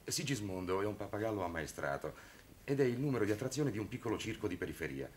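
An actor playing a calm, earnest priest in a 1960s television drama, speaking in a measured, articulate, firm way. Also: male voice, fairly low pitch. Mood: earnest, patient. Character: calm, earnest priest defending his young charges. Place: studio set of a furnished room. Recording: noisy old television soundtrack.

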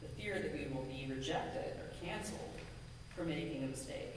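A woman minister giving a measured, earnest sermon from a pulpit in a church sanctuary, with a pulpit microphone and a distant camera. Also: low voice, lively intonation, noisy background. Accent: American. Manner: measured, deliberate, earnest preaching with emphatic lists. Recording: echoey sanctuary from far off.

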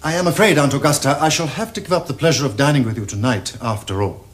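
A young man with an upper-class English accent playing a glib, languid Victorian dandy in a period comedy, smooth-talking and mock-solemn. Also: medium pitch, quick pace, close to an everyday voice. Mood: mock regret. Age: young adult. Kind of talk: period stage comedy acting. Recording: noisy old television soundtrack.

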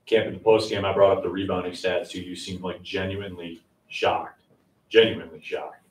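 A man's voice: deep voice, little pitch movement, moderate pace. A man, a sports reporter asking questions at a press conference in an informal, conversational way. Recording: echoey room, distant mic.

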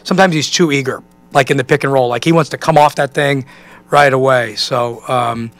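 An American man in his forties, a basketball coach answering press questions in a halting, conversational way, often saying "you know.". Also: medium pitch, fairly bright tone. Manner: halting, thinking aloud, peppered with 'you know'. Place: indoor press conference room. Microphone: microphone on a stand at a table.

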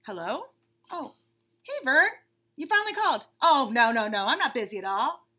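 A woman voicing an excitable, impatient, needy male bunny from a picture book, with dramatic, exclamatory delivery. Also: high-pitched, exaggerated voice. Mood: excited, chatty. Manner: dramatic, exclamatory, drawn-out emphasis.

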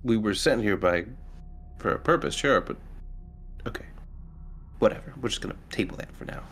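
A man's voice: on the low side, expressive, normal speed, fairly bright tone. A man playing a tabletop roleplaying game, talking casually as he questions and discusses the plan. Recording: faint background noise.

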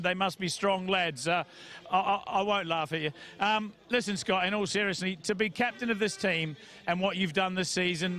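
A man doing post-race interviews at trackside, jokey and quick with his questions. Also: sits high, sharp tone. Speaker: trackside reporter.

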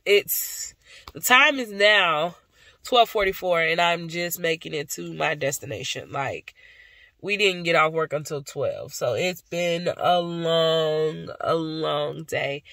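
A woman's voice: fairly low pitch, sharp tone, no room sound. Young female vlogger, tired and stuffed up with a cold, talking casually into a phone inside a car. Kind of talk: casual vlog chat to camera. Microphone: phone at arm's length.

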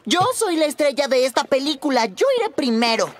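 A woman's voice: sits high, expressive, fairly quick, heavy background noise. A woman voicing a mischievous, boastful little boy playing superhero in a children's cartoon, with a Latin American Spanish accent. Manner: boastful, theatrical. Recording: music bed behind.

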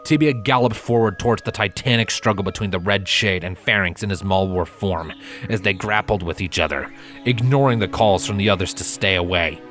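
A man's voice: on the low side, a little fast, a bit bright. A man reading scripted fantasy fiction as a storybook narrator. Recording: music bed behind narration.

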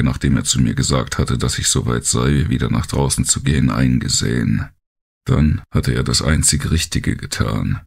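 A man reading a dark first-person thriller audiobook as narrator, with a deep, gravelly, husky voice.

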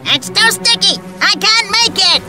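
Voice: very high voice, expressive, moderate pace, loud music behind the voice. An actor voicing an anxious, know-it-all broccoli in a cartoon, fussy and wry, forever explaining things. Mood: panicked. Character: anxious know-it-all broccoli, cautious, dry-witted sidekick. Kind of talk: cartoon character acting.

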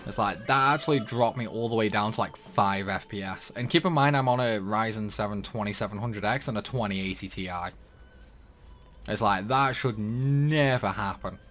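A man's voice: lowish voice, normal speed, fairly bright tone, soft background music. A young man giving rambling, casual commentary over a game playthrough. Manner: chatty, rambling, lots of likes and uhs. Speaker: gaming youtuber.

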